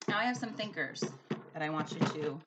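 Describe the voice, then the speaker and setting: A woman's voice: low voice, brisk, background noise. A woman teacher speaking expressively and emphatically, as in a storybook read-aloud for a school lesson. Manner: animated, emphatic.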